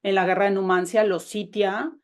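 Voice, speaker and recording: A woman with a Mexican Spanish accent talks about her own novel in a relaxed, chatty way. She restarts her sentences often and keeps going with eager energy. Her voice comes through a video call. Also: medium pitch, normal speed. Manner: chatty, enthusiastic, many restarts. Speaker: novelist guest. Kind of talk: casual conversation over a call.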